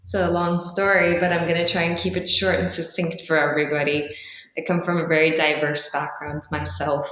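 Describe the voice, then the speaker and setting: A woman's voice: low-pitched, level tone, brisk, fairly bright tone. A woman from the Netherlands giving articulate, explanatory answers as a startup founder in an interview. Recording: roomy, slightly dull sound.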